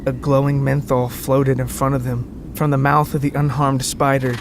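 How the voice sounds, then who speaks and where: A man's voice: medium pitch, level tone, normal speed, background noise. A man narrating a scripted podcast story in a dramatic, tongue-in-cheek way. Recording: music behind the voice. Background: background music.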